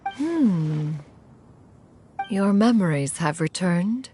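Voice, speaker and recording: A woman's voice: on the low side, expressive, drawn-out words, mellow, faint music behind. A woman voicing an ancient, aloof female crane spirit who speaks formally and calls herself "one", in a game cutscene. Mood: solemn.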